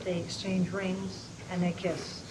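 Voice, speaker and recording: A woman narrates stage directions at a table read. Her voice is somewhat low and stays very even in pitch. She is picked up from a distance with clear noise in the room. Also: slow. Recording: distant, noisy rehearsal room recording.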